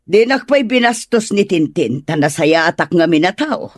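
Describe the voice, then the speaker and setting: A woman with a northern Philippine accent playing a stern, suspicious older sister in an audio drama, scolding and quick to question. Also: fairly low pitch, animated, no echo, studio quality.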